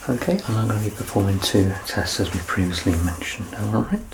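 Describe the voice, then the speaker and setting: A man in his thirties doing an ASMR medical exam roleplay as a doctor, soft-spoken, reassuring and explanatory. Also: lowish voice, big pitch swings, fairly quick, noisy background. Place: small curtained indoor room.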